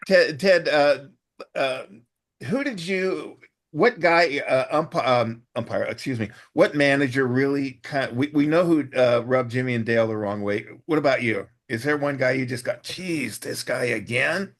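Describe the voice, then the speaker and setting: A man hosting a podcast, asking friendly questions with halting restarts. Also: medium pitch, fairly bright tone. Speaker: podcast host. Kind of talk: interview questions.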